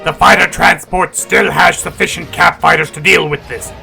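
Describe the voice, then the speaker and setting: Gravelly, proud alien cat warrior. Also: male voice, a little high, steady tone, moderate pace, sharp tone, soft background music, heavily acted. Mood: commanding. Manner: imperious, scornful. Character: proud, contemptuous Kilrathi cat warrior taking command. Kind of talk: sci-fi audio drama acting.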